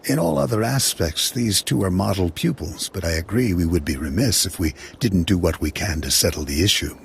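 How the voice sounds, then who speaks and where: An actor playing a reasonable, diplomatic Jedi instructor in a video game, speaking formally and carefully. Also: male voice, sits low, animated, brisk, vocal fry, slight background noise. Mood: calm, tactful.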